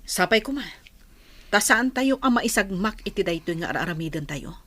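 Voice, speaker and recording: A woman's voice: fairly low pitch, fairly bright tone, dry recording. A woman with an Ilocano accent playing a grave, cautioning older-sister rebel in a radio drama, earnest and admonishing.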